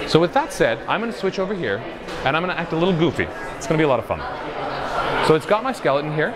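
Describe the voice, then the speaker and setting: Lively man presenting over loud crowd. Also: on the high side. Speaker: product demonstrator. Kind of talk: live stage demo presentation. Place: busy trade show floor. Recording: loud crowd noise behind. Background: convention crowd.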